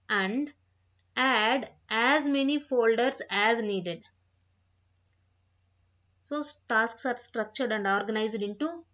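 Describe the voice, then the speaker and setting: A woman giving an instructional software tutorial, reading out points and repeating phrases as she explains them, on a dull, lo-fi microphone. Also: fairly high pitch. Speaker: software trainer.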